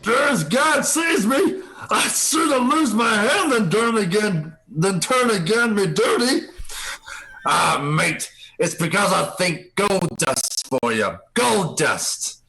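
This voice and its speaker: Someone putting on a creaky, drawling pirate sailor. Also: medium pitch, animated, takes it slowly, pushed and bright, heavily acted, low-quality recording. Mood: defiant. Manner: drawling, hammy. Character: gruff old pirate sailor, rustic and dramatic.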